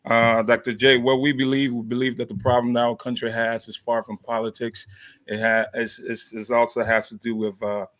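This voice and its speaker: A man hosting a podcast interview, talking haltingly with many fillers. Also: mid-range voice, level tone, fairly bright tone. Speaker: podcast host. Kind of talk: interview introduction.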